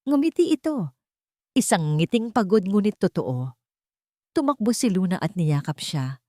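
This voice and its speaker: A woman's voice: mid-range voice, sing-song, normal speed, dark tone, no room sound, studio quality. A woman with a Filipino accent reading scripted, dramatic story narration for an audio drama.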